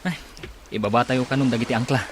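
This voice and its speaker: A man's voice: medium pitch, steady tone, fast talker, background noise, natural voice. An actor with an Ilocano Filipino accent playing an anxious ship's crewman in a radio drama. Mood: worried.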